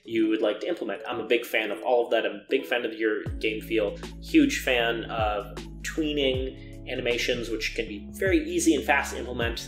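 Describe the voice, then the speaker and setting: Young adult male, emphatic informal presenter, mid-pitched voice, roomy home recording with faint music. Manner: earnest, emphatic, repeating intensifiers. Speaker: game jam organizer giving a keynote talk. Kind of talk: informal advice lecture to camera. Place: living and dining room at home, indoors. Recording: roomy indoor echo.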